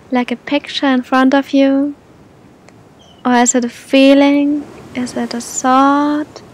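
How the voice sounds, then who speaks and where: High-pitched woman slowly guiding a meditation. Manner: gentle, soothing, unhurried with long pauses. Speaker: meditation guide. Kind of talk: guided meditation, spoken softly to the listener. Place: outdoors on a beach. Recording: outdoor recording with low rumbling noise.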